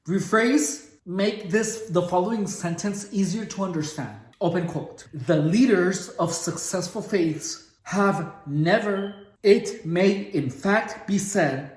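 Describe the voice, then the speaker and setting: A man with a heavy Mexican accent reading a book aloud slowly and stopping to puzzle over words, with casual swearing. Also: high-pitched, darkish tone. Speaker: book reader and explainer. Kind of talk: casual read-along of a book. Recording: echoey room.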